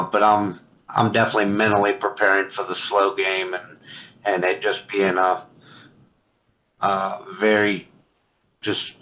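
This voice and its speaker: A middle-aged man chatting casually about fishing as a guest angler, halting and repeating words as he thinks aloud over a remote call. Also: on the low side, a bit bright. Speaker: guest, competitive kayak angler. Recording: dull lo-fi call audio.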